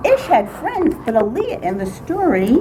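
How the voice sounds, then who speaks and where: Older woman reading, high voice, noisy room. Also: measured pace. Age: older, grandmother. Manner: expressive, dramatic storytelling with emphatic phrasing. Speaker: writer reading her own personal story. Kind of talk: reading a humorous personal essay aloud. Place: indoors, writing group meeting room. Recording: very noisy small-group room recording. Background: clear background noise and room ambience.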